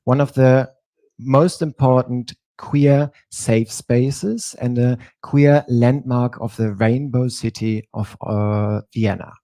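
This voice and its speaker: A man, giving a prepared stage talk as a drag performer and telling his story in a measured way. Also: medium pitch, steady tone, warm tone, no echo.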